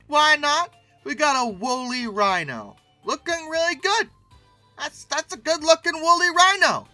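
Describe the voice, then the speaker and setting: A man's voice: extremely high pitch, sharp tone, dry recording. A man giving casual, humorous commentary in a theatrical way, repeating words and adding mock-exasperated asides. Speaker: host reviewing old paleoart.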